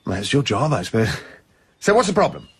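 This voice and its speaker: An actor in a radio drama playing a jovial, chatty colonial official with an upper-class English accent. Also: male voice, mid-range voice, big pitch swings, a little fast, fairly bright tone, husky, exaggerated voice. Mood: chatty, informative. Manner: chatty, old-school enthusiasm.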